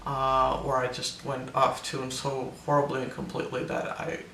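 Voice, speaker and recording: Gravelly young man, recorded from across a room. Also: medium pitch, monotone, normal speed, sharp tone, roomy sound, far from the mic, a little hiss or hum. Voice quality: voice cracks, air breaking through on some notes. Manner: hesitant, many ums and restarts. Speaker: vlogger. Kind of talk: casual personal update to camera.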